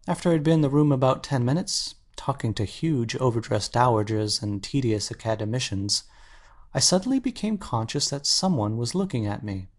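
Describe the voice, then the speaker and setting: An actor playing an earnest, anxious painter in a dramatic audiobook, speaking intensely and articulately. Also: male voice, medium pitch. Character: earnest, anxious male painter confessing his obsession.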